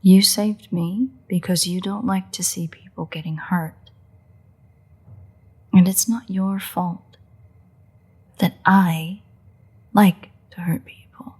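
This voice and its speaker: A woman playing a sardonic, predatory vampire in an intimate roleplay audio drama, with a husky, breathy voice and a playful, drawling delivery. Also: fairly low pitch, steady tone, slow pace, long pauses, darkish tone. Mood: blunt, defiant. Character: teasing, unrepentant female vampire, sardonic and predatory.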